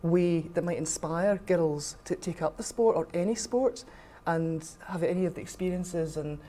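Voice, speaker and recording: Low, mellow middle-aged Scottish woman. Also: normal speed. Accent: west of Scotland. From west coast of Scotland. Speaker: interviewee, rugby official. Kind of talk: informal interview conversation.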